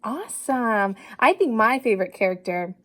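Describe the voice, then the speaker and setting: Lady teacher reading a story to little kids, kinda high voice, super expressive, asks them questions and cheers them on. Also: moderate pace. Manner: animated, encouraging, talks directly to children. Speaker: teacher reading a picture book to children. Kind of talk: children's story read-aloud with questions to young listeners.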